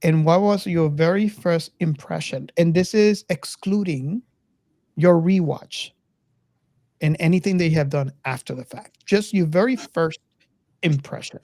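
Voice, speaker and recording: A woman with a low, dark voice hosts a casual film discussion. She speaks haltingly, often repeating words, and the recording is dry and close. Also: no echo. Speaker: podcast host.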